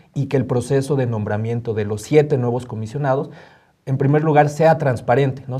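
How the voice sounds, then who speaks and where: A man with a Mexican accent giving measured, formal, methodical explanations as an interview guest. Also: medium pitch, dark tone. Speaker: interview guest, civil society transparency advocate.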